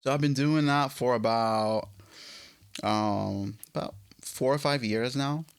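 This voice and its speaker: A man from Egypt in his late thirties, a guest telling his story in a casual interview, halting, with many fillers and restarts. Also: mid-range voice, gravelly, clear, resonant tone, dry recording, clean studio sound. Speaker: guest guitarist.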